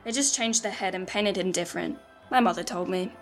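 A woman's voice: lowish voice, a little fast, soft background music, natural voice. A woman playing a matter-of-fact, sceptical little girl in a radio drama, earnest and literal. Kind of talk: old-time radio drama acting.

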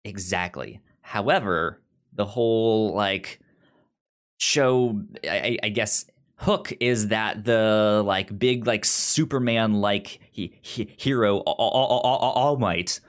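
A man's voice: on the low side, slow. A man hosting a podcast in a casual, chatty way, often stumbling over and repeating his words. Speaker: podcast host.

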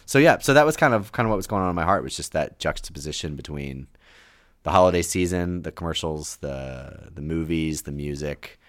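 A man with an extremely deep voice, a little hoarse from a cold. He talks in a halting, reflective way, full of ums and restarts. The sound is dry and close. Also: expressive, no room sound. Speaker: pastor, podcast guest. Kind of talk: casual conversation.

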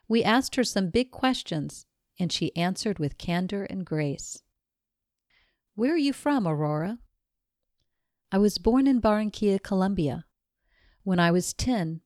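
A woman reading a written magazine interview aloud in a measured, even manner. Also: mid-range voice, no echo, studio quality. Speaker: reader of a magazine article.

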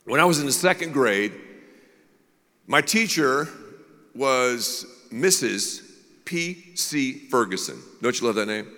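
A man's voice: medium pitch, expressive, slow, long pauses, bright, forceful tone, long reverb tail. A man who is a pastor, preaching a sermon in a candid, self-deprecating storytelling way.

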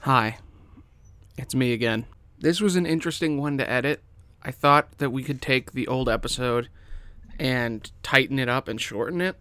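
A man's voice: mid-range voice, fairly bright tone, smooth, dry recording. Man casually hosting a podcast. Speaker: podcast host. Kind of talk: casual chat to listeners.

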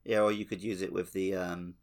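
A man's voice: sits low, flat delivery, brisk. A man co-hosting a casual gaming podcast in a chatty, jokey way. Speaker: podcast co-host. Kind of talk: casual chat about a video game.

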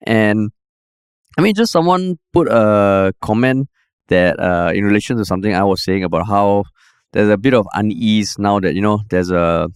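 Low, smooth-voiced Singaporean man chatting. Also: moderate pace, dry recording, clean studio sound. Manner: chatty, animated, sprinkles lah. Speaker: podcast co-host. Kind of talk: casual chat.

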